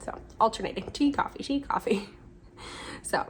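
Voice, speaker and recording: A woman's voice: on the high side, moderate pace, slight background noise. Chatty young woman with a cold. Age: 20s. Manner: chatty, rambling, with frequent ums and self-corrections. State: slightly congested from a cold. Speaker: podcast host. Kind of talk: casual chat. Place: home during a windy day.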